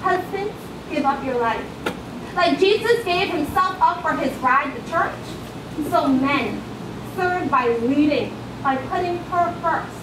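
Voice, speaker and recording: A woman delivers a rhymed, sermon-like poem in a strikingly high voice. Her delivery is emphatic and rhythmic. She is heard from far off in an echoey room with clear background noise. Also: animated, slow. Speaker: spoken word poet at a church. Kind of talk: rhymed spoken word poetry recital, sermon-like. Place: church, live before a congregation.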